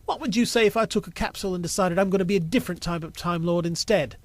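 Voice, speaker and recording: A man's voice: high-pitched, fast, no echo, voice pushed up, close to an everyday voice. A man voicing an earnest young man in an audio story, a little defiant and unsure of himself. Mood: defiant, determined. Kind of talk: audio story character acting.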